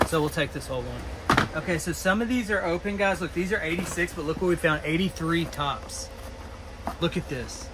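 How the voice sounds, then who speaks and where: A man in his thirties with a slightly high voice talks fast and excitedly as he digs through a storage unit. The metal-walled room is recorded on a camera mic, with clear background noise from the space and the road. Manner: excited, rapid commentary while digging through boxes. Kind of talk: casual vlog narration. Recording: noisy storage unit ambience.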